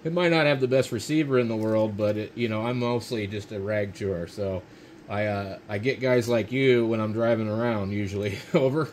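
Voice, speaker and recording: A man's voice: on the low side, moderate pace. A man with an American accent, chatting casually as an amateur radio operator at an indoor desk. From United States. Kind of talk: casual ham radio chat. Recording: faint radio hiss and room noise.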